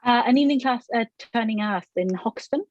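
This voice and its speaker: A middle-aged woman from Sweden, a potter answering interview questions thoughtfully, with frequent pauses, fillers and restarts. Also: fairly high pitch, darkish tone, dry recording, muffled recording. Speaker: ceramic artist, interviewee.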